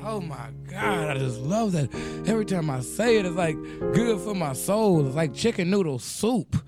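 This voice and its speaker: A man hosting a casual radio chat, with a raspy, deep voice, joking around and laughing a lot. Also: animated, moderate pace, over loud music. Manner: playful, laughs often, breaks into off-key singing. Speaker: radio show host.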